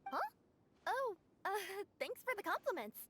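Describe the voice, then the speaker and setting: An actress playing a polite, inquisitive young woman in a video game, analytical and expressive. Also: extremely high pitch, normal speed, full character voice. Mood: surprised, pondering.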